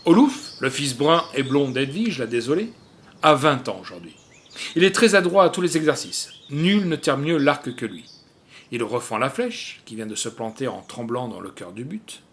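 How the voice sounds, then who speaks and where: A man narrating a literary short story in a dramatic, expressive manner. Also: medium pitch, moderate pace. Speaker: audiobook narrator.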